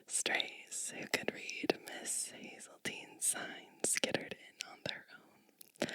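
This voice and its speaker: A woman whispering gently and breathily close to the microphone, reading a storybook aloud with chatty asides in an ASMR style. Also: no echo. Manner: slow, gentle, hushed delivery with chatty asides.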